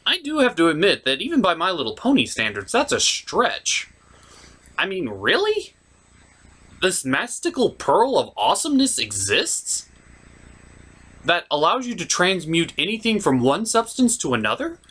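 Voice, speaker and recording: A woman's voice: lowish voice, huge pitch swings, normal speed, a bit bright, husky. A woman giving a comedic, sardonic movie recap with exclamatory delivery. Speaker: puppet show reviewer. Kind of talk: comedic movie recap with acted character voices.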